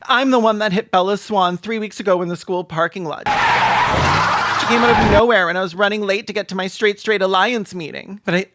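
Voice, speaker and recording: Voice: medium pitch, fairly bright tone, dry recording. Comedy commentator, sarcastic, mocking, theatrical delivery, mid-range voice, dry recording. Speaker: host of a comedic reaction show.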